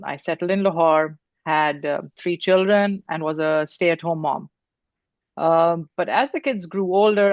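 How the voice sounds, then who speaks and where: A woman's voice: on the low side, dry recording. An older woman from Pakistan, a guest on a video call, talking casually with frequent fillers and light laughter. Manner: warm, laughs often, many fillers. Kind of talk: casual interview. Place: home room. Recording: dull lo-fi video call audio.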